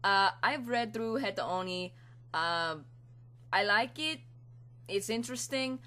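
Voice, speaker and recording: A woman's voice: medium pitch, takes it slowly, pushed and bright. A teenage girl voicing a cheerful, scatterbrained young Spanish man in an improvised anime monologue, with a put-on Spanish accent and a playful, rambling manner.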